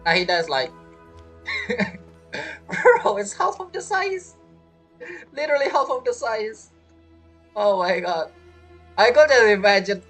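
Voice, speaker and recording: A man's voice: high-pitched, fairly bright tone. A man giving casual, slangy, jokey commentary as he plays a video game. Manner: jokey, acts out imagined quotes, slangy. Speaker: game streamer.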